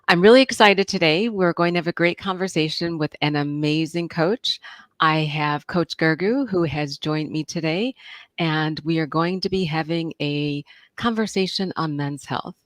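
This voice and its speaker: A woman's voice: low voice, dry recording. A woman hosting a live-streamed interview, opening with a scripted welcome and asking questions. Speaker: host.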